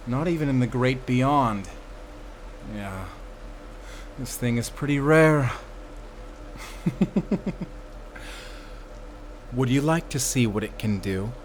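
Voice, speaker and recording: A man as a slow, theatrical, sadistic warden. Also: mid-range voice, a little hiss or hum, natural voice. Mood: savoring menace. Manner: drawling, mocking, toying with his words. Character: sadistic theatrical prison warden, gloating and cruel. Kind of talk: audio roleplay drama acting.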